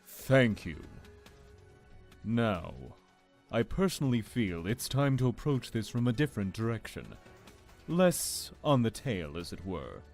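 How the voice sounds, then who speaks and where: A man playing a commanding, calculating lord in an audio drama, with a measured, formal and authoritative manner. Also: fairly low pitch, very animated, a little mellow. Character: commanding male lord, calm, calculating leader. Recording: music under the voice.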